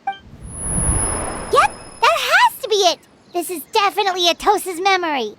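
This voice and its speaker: A woman voicing a small, excitable female fairy companion in a video game, squeaky, childlike and eager. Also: measured pace, exaggerated voice. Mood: excited. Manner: exclamatory, eager.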